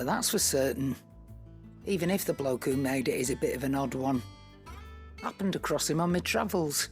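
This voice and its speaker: An actress with a Northern English accent playing a blunt, wry market trader in a fantasy video game. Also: female voice, lowish voice. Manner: blunt, wry, plain-spoken. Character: dry, no-nonsense female market trader in fantasy.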